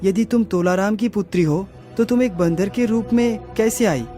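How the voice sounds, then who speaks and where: A man's voice: sits high, moderate pace, mellow, faint music behind, close to an everyday voice. A man voicing a curious young prince in a cartoon, with a wondering, inquisitive manner. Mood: puzzled. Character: young prince, curious, good-natured traveller. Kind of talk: Hindi moral-story cartoon acting.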